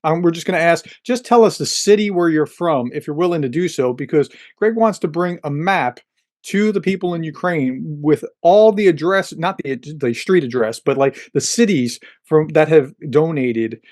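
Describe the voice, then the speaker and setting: A man's voice: a little high, lively intonation, moderate pace, darkish tone, no room sound, studio quality. A man co-hosting a casual chat, giving friendly explanations in loose, rambling phrases. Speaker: co-host, fellow channel host.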